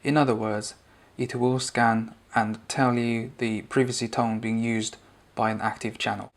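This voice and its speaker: A man with a mid-pitched voice and a noticeable gravelly creak presents a product review. He explains and demonstrates in a conversational way, talking the listener through each point. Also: flat delivery, measured pace.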